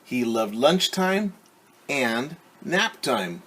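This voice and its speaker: Slow-paced man leading children's story time. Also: medium pitch, sing-song, fairly bright tone, breathy edge. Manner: simple, encouraging, asks listeners questions. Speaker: teacher reading a picture book to young children. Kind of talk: children's story time read-aloud with explanations and questions.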